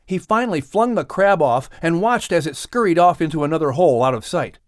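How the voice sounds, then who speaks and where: A man narrating a scripted children's story as an audiobook reader, with expressive delivery. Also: on the high side, fairly quick, no echo, clean studio sound.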